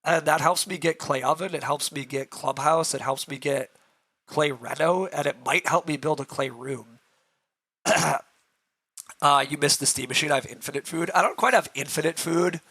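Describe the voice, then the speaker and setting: Hoarse man thinking aloud over a game. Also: a little high, normal speed, bright, forceful tone. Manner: thinks aloud, answers chat, frequent throat clearing. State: rough, hoarse voice. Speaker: streamer playing a board game. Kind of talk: live-streamed game commentary, thinking aloud.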